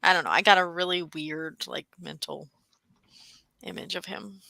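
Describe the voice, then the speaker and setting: A woman's voice: lowish voice, normal speed, vocal fry, sharp tone, slight background noise. A woman co-hosting a book discussion, chatting casually and tentatively, peppering her speech with "like.". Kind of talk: casual chat.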